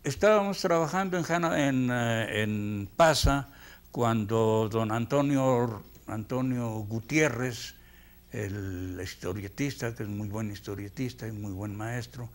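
Old mexican guy in his 80s, veteran animator, remembering stuff slowly and haltingly in an interview. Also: medium pitch. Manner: halting, word-searching, reflective. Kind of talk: reminiscing interview.

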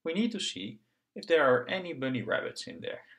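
A man's voice: fairly high pitch, big pitch swings, a little fast, fairly bright tone, voice pushed up. A friendly puppet doctor from a picture book, played by a man. The delivery is encouraging and singsong, with lots of rise and fall. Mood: instructing. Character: friendly, reassuring puppet doctor in a children's storybook.

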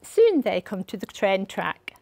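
An older woman reading a children's bedtime story aloud, with expressive delivery for young listeners. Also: medium pitch, a little slow, vocal fry, no echo. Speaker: library storyteller. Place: indoor set with a sofa. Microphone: lapel mic.

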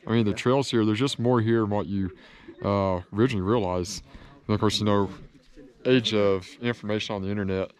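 A man's voice: fairly low pitch, steady tone, fairly quick. A man in his thirties with a Southern American accent, chatting casually at an outdoor overlook while filming himself at arm's length. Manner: friendly, chatty, talks to strangers and animals. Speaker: vlogger. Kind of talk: casual travel vlog. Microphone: camera held at arm's length. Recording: background guitar music.

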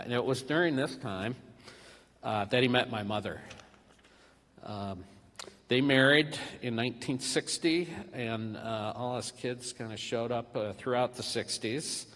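A middle-aged man giving a eulogy for his father at a church podium, speaking haltingly with many fillers and restarts. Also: medium pitch, fairly bright tone. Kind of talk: memorial eulogy. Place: large church sanctuary. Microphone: podium microphone. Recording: reverberant church hall.

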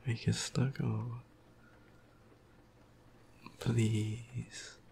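Sleepy croaky guy whispering real slow, low gravelly voice, right up on the mic. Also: little pitch movement, slight background noise. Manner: soft, affectionate whispering with quiet laughs. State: tired. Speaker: ASMR boyfriend roleplayer. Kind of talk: ASMR roleplay.

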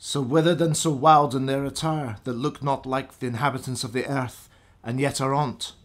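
Man as a bold warrior, medium-pitched. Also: steady tone, normal speed, lightly acted. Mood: wary wonder. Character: bold, questioning noble warrior captain. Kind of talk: classical radio drama acting.

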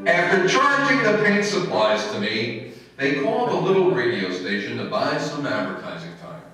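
A man's voice: mid-range voice, moderate pace. Man as a wry husband narrator in echoey hall. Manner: deadpan, punning. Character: wry, long-suffering husband narrating his wife's scheme. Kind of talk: old-time radio comedy narration on stage. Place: public hall stage before seated audience. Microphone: far camera. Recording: echoey hall, distant mic, some music.

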